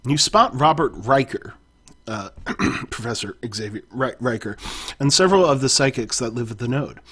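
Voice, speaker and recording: A man's voice: medium pitch, animated, normal speed, a bit bright, slightly rough. A man reading an interactive adventure story aloud in the second person, stopping now and then to correct himself. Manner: second-person narration, occasional self-corrections.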